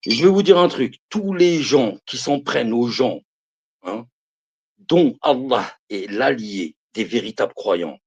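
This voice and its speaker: Man, 50s, husky, lively pitch; emphatic live-stream preacher who repeats himself. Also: a little slow, highs cut off. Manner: emphatic, repeats phrases, calls listeners brothers and sisters. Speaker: live streamer giving religious advice.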